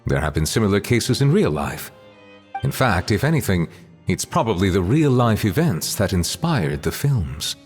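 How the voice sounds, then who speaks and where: Dignified formal gentleman, low voice, expressive intonation, game dialogue, reverberant, light music behind. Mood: composed. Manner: formal, measured, precise diction. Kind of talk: video game voice acting.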